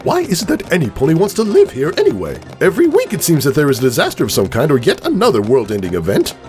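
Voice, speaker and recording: A man acts out a prim and proper cartoon stallion in anguish, ranting in wordy, melodramatic fashion. The pitch is high and swings wildly, and the words come quickly over music. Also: huge pitch swings, darkish tone. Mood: exasperated ranting. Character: large male cartoon pony, prim, anguished, compulsively ranting.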